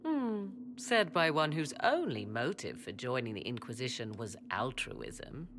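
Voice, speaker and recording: An actress playing a proud, mysterious sorceress in a fantasy game, speaking in haughty, formal, archaic phrases. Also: female voice, a bass voice, sing-song, unhurried, noisy background, full character voice. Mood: scornful. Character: proud, mysterious sorceress, hungry for ancient knowledge. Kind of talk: dramatic fantasy game acting.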